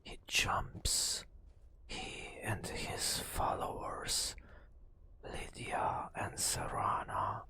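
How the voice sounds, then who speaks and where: A man narrating a fantasy game as hushed ASMR storytelling, in a breathy whisper with dramatic, storyteller phrasing and pauses. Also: on the low side.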